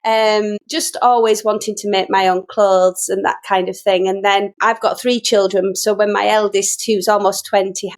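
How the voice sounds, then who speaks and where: A woman's voice: medium pitch, flat delivery, moderate pace, few pauses. A middle-aged woman from Yorkshire with a Yorkshire accent, telling her story in a casual, chatty, rambling way as an interview guest. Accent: Yorkshire English. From Yorkshire, England. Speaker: guest, sewing teacher.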